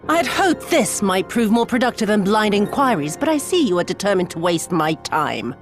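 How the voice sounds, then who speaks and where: A woman's voice: medium pitch, very animated, normal speed, faint music behind, lightly acted. A woman playing a cruel, contemptuous military commander in a video game, with a haughty, sneering, theatrical manner. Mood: exasperated, menacing. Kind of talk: video game villain acting.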